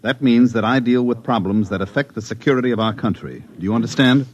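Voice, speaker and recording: A cool government security agent, played by an actor, questions a witness calmly and keeps pressing. His voice is mid-pitched and even, an ordinary man's voice. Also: fast, highs cut off, lightly acted. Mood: interrogating. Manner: calm, pressing interrogation. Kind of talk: 1950s radio drama acting.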